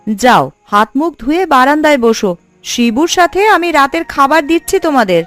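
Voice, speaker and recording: A woman voicing a supportive but sharp-tongued village wife and mother in a cartoon, talking in a homely, colloquial way. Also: high voice, expressive, a little fast, faint music behind. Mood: caring. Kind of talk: Bengali cartoon story dubbing.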